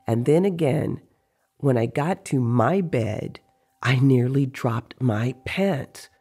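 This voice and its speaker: A reader plays a teasing male sorcerer in an audio story, recounting strange dreams. The voice is gravelly and dark, and the telling is slow and deliberate. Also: mid-range voice, lively intonation, soft background music, full character voice. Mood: storytelling.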